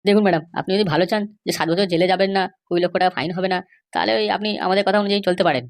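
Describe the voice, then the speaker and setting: Woman as crooked cartoon police officer, lowish pitch, extremely fast talker, insistent and dismissive. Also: lightly acted. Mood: insinuating. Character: stubborn, bribe-seeking police officer guarding a prisoner. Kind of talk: Bengali comedy cartoon dialogue.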